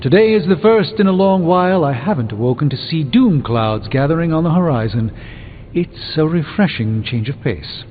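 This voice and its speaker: An actor playing a thoughtful, weary sorcerer mentor in a video game, speaking in a measured, eloquent way. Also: male voice, fairly high pitch, lively intonation, a little hiss or hum, lightly acted. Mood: reflective, hopeful. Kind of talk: video game character dialogue. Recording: muffled phone-like band, long reverb, music behind.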